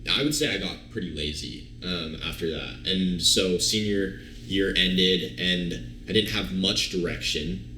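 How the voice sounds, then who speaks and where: A young man in his early twenties with a very low voice that carries a sharp, forceful brightness. He tells his story loosely, full of fillers and restarts. The recording has a roomy echo around him. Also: unhurried, few pauses, slight background noise. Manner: relaxed, rambling, many fillers and restarts. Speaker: guest, online fitness coach. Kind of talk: casual storytelling interview.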